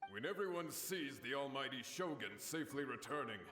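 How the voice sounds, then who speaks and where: An actor playing a devoted, reverent soldier in a video game, speaking fervently and formally. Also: male voice, mid-range voice, lively intonation, brisk, sharp tone, reverberant, a little hiss or hum.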